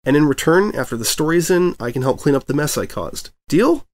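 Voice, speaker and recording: A man's voice: a little high, lively intonation, a little fast, pitched above the performer's own voice, lightly acted. A man voicing a sheepish male newspaper reporter pony in a story, earnest, hesitant and apologetic.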